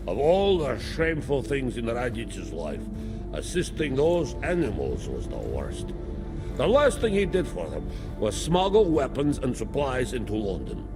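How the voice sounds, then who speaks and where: An actor playing a courtly, menacing crime boss in a video game, speaking in a formal, theatrical, measured manner with cool contempt. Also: male voice, mid-range voice, huge pitch swings. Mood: cold contempt. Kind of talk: video game cutscene acting. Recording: music under the voice.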